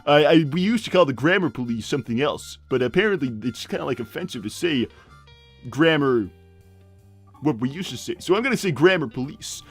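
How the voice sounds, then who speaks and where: Man playing a rambling hedgehog dad, animated pitch. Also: breathy edge, soft background music. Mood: rambling, self-deprecating. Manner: halting, repetitive, matter-of-fact oversharing. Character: short, crude, rambling cartoon hedgehog dad. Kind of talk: improvised in-character livestream banter.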